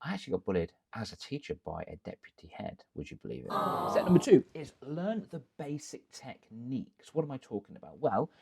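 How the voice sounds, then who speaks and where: An English man, a confidence coach, giving energetic direct-to-camera business advice full of rhetorical questions. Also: mid-range voice, fairly quick, gravelly, few pauses. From England.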